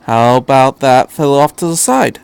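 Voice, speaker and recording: A man's voice: medium pitch, normal speed, gravelly, a little mellow, clear, resonant tone, no room sound, lightly acted. Casting: man reading male doctor adventurer, breezy and in command, casual prodding delivery, audio story.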